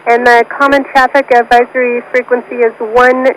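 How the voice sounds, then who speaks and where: A woman's voice: on the high side, flat delivery, normal speed. A woman working as an air traffic controller, giving clipped procedural instructions over aviation radio. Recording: noisy aircraft radio transmission. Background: radio static and aircraft cabin noise.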